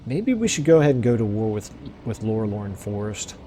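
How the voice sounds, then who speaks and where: A man commentating his strategy game play casually, thinking aloud with fillers, his voice going hoarse. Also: lowish voice, very animated, fairly quick, warm tone, a little hiss or hum. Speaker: let's play host.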